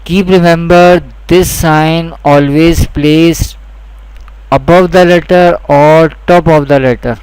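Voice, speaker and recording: Slow, clear-voiced male teacher. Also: on the high side, steady tone, darkish tone, dry recording, rough recording. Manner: deliberate, repeats each point twice. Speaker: Quran teacher. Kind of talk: slow, repetitive instructional lesson.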